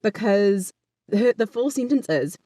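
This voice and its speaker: A bubbly woman with a slightly lower voice talks with enthusiasm and slips into laughter often. Her sound is dry and close. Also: expressive, measured pace, warm tone, dry recording. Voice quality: a little deeper voice. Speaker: podcast co-host, voice actor. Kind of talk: casual chat.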